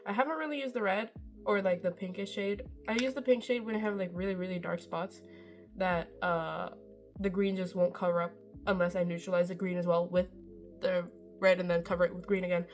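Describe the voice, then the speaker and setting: A woman's voice: on the low side, fairly even intonation, fairly quick, faint music behind. Chatty young woman doing a makeup tutorial. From Norway. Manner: chatty, rambling, self-deprecating, swears casually. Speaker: beauty vlogger. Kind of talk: casual makeup tutorial chat.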